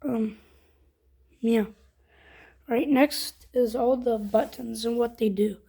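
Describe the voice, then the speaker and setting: Female product reviewer, higher-pitched, informal, walking through features step by step in a casual demo. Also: steady tone, slow, creaky, mellow, breathy edge.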